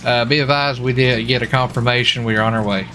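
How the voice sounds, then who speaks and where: Male game streamer, mid-pitched and bright, casual halting role-play as a lawman, light background noise. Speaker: streamer playing a US marshal in online role-play. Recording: steady background noise, game vehicle sounds. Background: game vehicle and ambient sounds.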